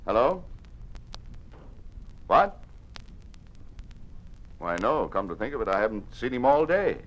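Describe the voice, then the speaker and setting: An actor playing a weary, burdened government official in an old-time radio drama, with a grave, measured delivery. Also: male voice, medium pitch, big pitch swings, fairly bright tone, lo-fi sound. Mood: tense, grave. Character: weary, burdened official resisting a tyrannical regime.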